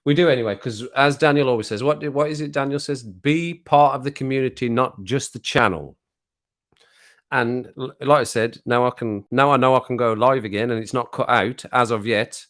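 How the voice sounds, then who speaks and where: A man's voice: medium pitch, moderate pace, a bit bright. Guy chatting to his live stream at home with a drink, jokey, cracks himself up. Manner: chatty, jokey, laughs at his own jokes. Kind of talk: casual chat to a live chat audience. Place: at home, living alone.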